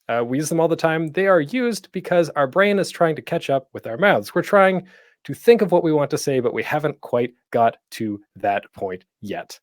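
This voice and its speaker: A man hosting an informal online tutorial, talking in a chatty, playful way with deliberate pauses for emphasis. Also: on the high side, expressive, no echo, studio quality. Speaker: panel host and podcasting instructor.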